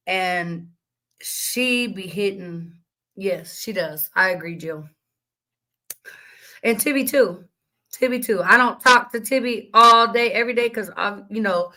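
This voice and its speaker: Slightly raspy woman chatting on a live stream. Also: medium pitch, sharp tone. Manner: blunt, profane, rambling, laughs often. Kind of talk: casual live stream chat reading comments.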